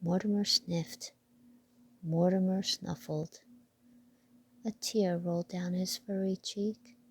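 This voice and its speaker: A woman reading a children's picture book aloud with deliberate storytelling phrasing. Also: fairly low pitch, fairly even intonation, breathy edge.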